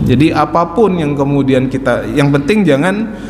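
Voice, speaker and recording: A man in his forties giving a calm, explaining religious lecture at a mosque study circle, often adding an affirming "ya". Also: on the high side, moderate pace, slight background noise. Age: 40s. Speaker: religious teacher. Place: large mosque hall. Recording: echoey mosque hall with faint ambience.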